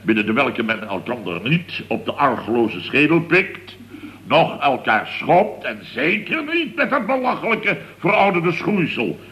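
A flustered, indignant boss, bright voice. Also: male voice, fairly high pitch, very animated, measured pace, rough recording. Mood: indignant, pompous. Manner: long-winded, exasperated storytelling peppered with verbal tags. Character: flustered, indignant middle-aged boss of a building firm. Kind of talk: comic radio serial acting. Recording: old radio recording with faint noise.

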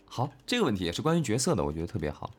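A male voice actor talking casually and reflectively about his work, earnest and halting, often repeating his phrases. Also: on the low side, huge pitch swings, faint music behind. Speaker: voice actor discussing an audio drama. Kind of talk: casual, reflective cast chat.

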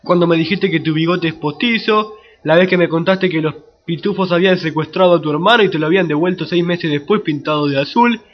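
A man puts on a naive young Argentine boyfriend in a comic radio serial. The voice is high and whiny, full of childish complaint. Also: fairly quick, muffled recording, close to an everyday voice. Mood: incredulous.

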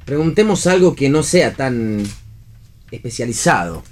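A man's voice: mid-range voice, expressive, unhurried, lightly acted. An actor playing a sardonic, provoking man in radio theatre. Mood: deliberate.

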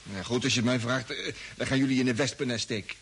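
A man's voice: medium pitch, fairly even intonation, fast talker, vocal fry, fairly bright tone, breathy edge. An actor playing an anxious, grumbling crewman, colloquial and nervous, in a Dutch radio drama. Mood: nervous, reluctant. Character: anxious grumbling crewman eager to get away.

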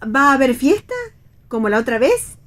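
A woman's voice: high voice, very animated, moderate pace. An actress playing a chatty, inquisitive and naive mother with old-fashioned, homely tastes in a radio play reading. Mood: chatty, fussing. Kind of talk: radio read theatre dialogue.

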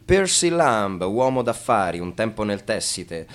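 An actor playing a declamatory chronicler in a radio play, announcing in a formal, list-like style. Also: male voice, lowish voice, sing-song, measured pace. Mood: formal roll call. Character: declamatory male chronicler listing investors and sums.

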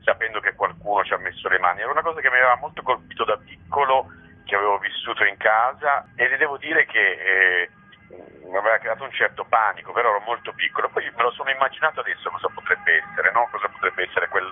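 A man's voice: on the low side, fast talker. A man giving reflective, explanatory answers in a spontaneous interview, often checking in with a short tag question. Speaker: playwright and theatre director being interviewed. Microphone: telephone. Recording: muffled narrow-band telephone line.

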